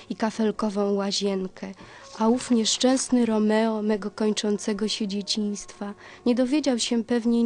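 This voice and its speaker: Casting: female narrator, medium pitch, dramatic literary delivery with mock-tragic weight. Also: a little mellow. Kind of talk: dramatic scripted first-person narration.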